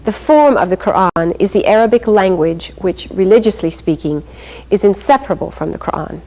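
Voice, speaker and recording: A woman reads scripted documentary narration in a formal, even manner as the program narrator. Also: mid-range voice, fairly quick, low-quality recording.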